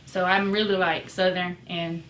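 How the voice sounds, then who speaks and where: A woman's voice: on the low side, moderate pace, echoey room, far from the mic, a little hiss or hum. A woman in her twenties from New York, chatting casually as a guest and telling stories with lots of laughter. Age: 20s. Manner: animated storytelling, laughs often. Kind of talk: casual chat.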